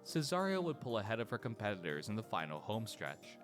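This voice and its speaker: A man delivers scripted documentary narration in a steady storytelling manner. His voice sits low, and his intonation rises and falls a lot. Soft music plays behind him. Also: a little fast.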